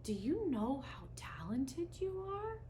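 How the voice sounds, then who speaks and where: A woman voicing a kind male schoolteacher in a storybook, speaking in a breathy, admiring whisper. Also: high voice, very animated, slow, distant mic, background noise, voice pushed up, full character voice. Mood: hushed admiration.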